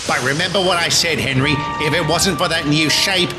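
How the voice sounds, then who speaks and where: Villainous cartoon diesel, acted by a man: devious bully, mocking, raised pitch, set over music. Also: a little fast, close to an everyday voice. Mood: menacing. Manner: mocking, sly. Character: sneering, devious male diesel engine, taunting bully. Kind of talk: cartoon-style character dub.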